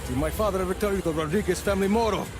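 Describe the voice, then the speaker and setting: A man's voice: high-pitched, rapid-fire, natural voice. A man acting a grim, defiant action hero in a video game, terse and dryly sardonic, with threat in his words. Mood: vengeful. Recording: very loud music and action sound. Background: very loud music.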